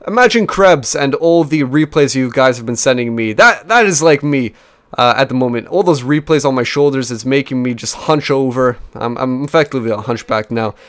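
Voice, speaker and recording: A man in his twenties from New Zealand, chatty and energetic, casting a strategy game replay with frequent fillers and restarts at a desk microphone. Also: medium pitch, a little fast, smooth. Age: 20s. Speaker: game caster. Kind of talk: replay commentary.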